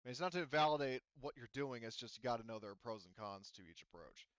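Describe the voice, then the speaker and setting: A man's voice: mid-range voice, expressive, fast talker, fairly bright tone, no room sound. Rambling man running a game, slightly dull audio. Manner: rambling, hedging explanations full of "like" and "you know". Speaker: game master. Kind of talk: casual tabletop game discussion.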